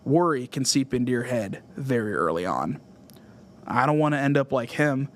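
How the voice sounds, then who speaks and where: A man's voice: medium pitch, animated, normal speed. A man from Southern California, hosting a solo music podcast in an earnest, scripted essay style. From Southern California, USA. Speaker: podcast host.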